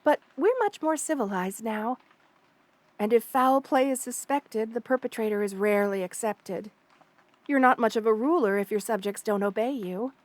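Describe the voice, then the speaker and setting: A woman voicing a kind, motherly spider queen in an ASMR roleplay, soft-spoken, doting and reassuring as she speaks to the listener. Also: a little high, normal speed, no echo, natural voice. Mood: calm, explaining. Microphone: close studio mic, dry.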